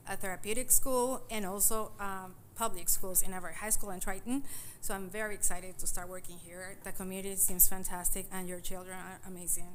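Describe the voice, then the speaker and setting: A woman's voice: lowish voice, a little hiss or hum. Upbeat woman from Peru. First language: Spanish. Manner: upbeat, enthusiastic. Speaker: Spanish teacher. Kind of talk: formal self-introduction at a meeting.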